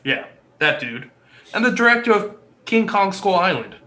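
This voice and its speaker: A man co-hosting a casual gaming podcast, tossing in quick wisecracks. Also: high-pitched, very animated, bright, forceful tone. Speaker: podcast co-host. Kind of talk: casual chat.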